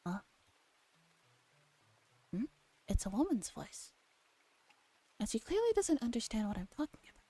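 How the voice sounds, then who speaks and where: A woman streamer gently reading a visual novel aloud in a soft ASMR style, relaxed and chatting casually, at times dropping to a whisper. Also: a little high, unhurried, long pauses, slightly rough. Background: background music.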